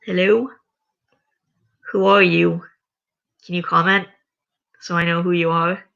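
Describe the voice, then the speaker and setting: A livestreamer reading a story aloud and chatting to viewers, voice going raspy. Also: mid-range voice, little pitch movement, slow pace, fairly bright tone, highs cut off. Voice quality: voice getting raspy. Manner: rambling, self-correcting. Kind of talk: reading a story aloud, then casual chat.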